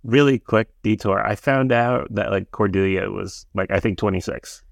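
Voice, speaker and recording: Gravelly low-voiced man podcast co-host. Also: normal speed, dry recording, studio quality. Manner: easygoing, recaps with fillers. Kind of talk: casual chat.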